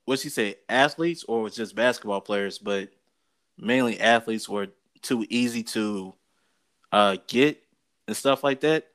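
A man's voice: fairly low pitch, a little slow, a bit bright. Emphatic American man talking sports. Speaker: sports talk show co-host. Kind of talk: casual opinionated sports and gossip chat.

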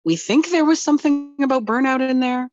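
A woman's voice: high voice, a little fast, a little mellow, muffled recording. A woman co-hosting a podcast in casual chat, joking drowsily about herself. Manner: self-deprecating, drowsy joking. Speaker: podcast co-host.

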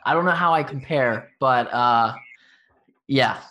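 A man's voice: medium pitch, unhurried, muffled recording. A man from Texas with a light Texan accent, hosting a casual interview and rambling easily with fillers and slang. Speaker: podcast host.

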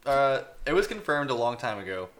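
A man on a podcast giving a casual, halting, unscripted game review. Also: medium pitch, sing-song, a bit bright.

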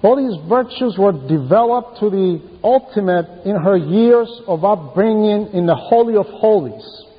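Echoing, slow-paced man preaching. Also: high-pitched, expressive. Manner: deliberate short phrases with pauses, emphatic storytelling. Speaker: preacher reading a translated homily. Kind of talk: sermon, retelling Bible stories. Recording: muffled, long reverberant hall echo.

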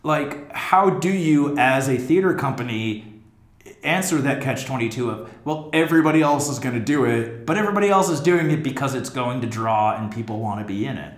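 A man's voice: mid-range voice, animated, a little fast. An American man co-hosting a casual podcast chat about theatre. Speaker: podcast co-host; theatre teacher. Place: echoey indoor room. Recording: roomy, echoing podcast room.

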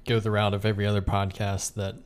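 A man's voice: fairly low pitch, monotone, fast, clear, resonant tone. A man hosting a casual podcast, chatting in a joking, sarcastic way with his co-host. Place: room with another person.

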